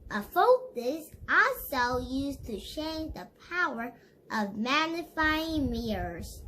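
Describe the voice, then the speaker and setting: Child reader, very animated intonation, slow deliberate pace, instructive read-aloud, faint background noise. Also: high-pitched. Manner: deliberate, instructive, addresses viewers directly. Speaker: host reading a children's book aloud.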